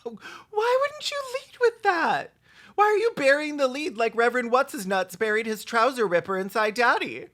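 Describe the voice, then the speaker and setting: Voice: medium pitch, big pitch swings, normal speed, a bit bright, soft background music. A video host giving comedic reaction commentary in a theatrical, exclamatory and sarcastic way.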